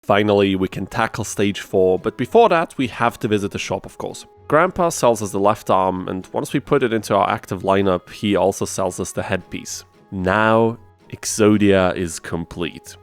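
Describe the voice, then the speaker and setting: Guy with a lowish voice doing gaming challenge commentary, chatty and a bit self-deprecating, music playing behind. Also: normal speed, smooth. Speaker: gaming video host. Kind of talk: scripted challenge-run narration with casual asides. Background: music under the voice.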